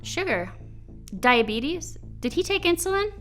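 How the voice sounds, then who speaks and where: A woman playing a probing, skeptical scientist in a radio drama, speaking briskly and quick to challenge. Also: very high-pitched, sing-song, a bit bright, soft background music, heavily acted.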